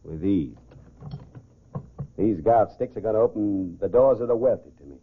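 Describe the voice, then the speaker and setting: An actor playing a confident, calculating gentleman swindler in a 1940s American radio crime drama. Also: fairly low pitch, expressive, moderate pace, husky. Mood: cool, scheming. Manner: self-assured, calculating, clipped orders. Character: smooth, confident gentleman swindler posing as society golfer. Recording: muffled, phone-like 1940s radio recording.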